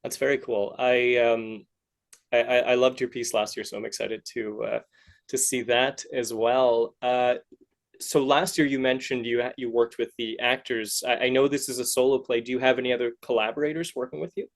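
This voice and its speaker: Halting man hosting a podcast. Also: mid-range voice, steady tone. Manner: halting, circling back to rephrase questions. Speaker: podcast host interviewing artists. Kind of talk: casual interview chat.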